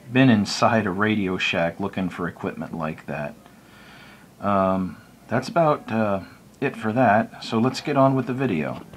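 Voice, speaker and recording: A man's voice: lowish voice, slight background noise. A man with an American accent giving an informal, unscripted tutorial in a plain-spoken, explanatory and somewhat hesitant way. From United States. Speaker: hobbyist video presenter.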